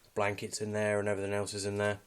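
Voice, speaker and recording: A British man with a fairly low voice talks through his outdoor gear off the cuff. He is understated and matter-of-fact, a little hesitant as he goes, like an informal hobby video presenter. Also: monotone, very fast, clean tone. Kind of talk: casual unscripted gear walkthrough.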